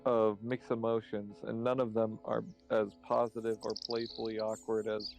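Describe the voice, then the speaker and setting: A man's voice: fairly low pitch, flat delivery, normal speed, vocal fry, darkish tone, soft background music. A man reading a visual novel's narration aloud, with casual reactions as a co-player.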